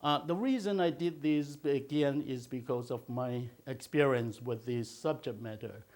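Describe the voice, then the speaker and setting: An older man from China, raised in Malaysia, teaching a watercolor technique in a slow, halting way with many filler sounds. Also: mid-range voice, a little mellow. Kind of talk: instructional video talk.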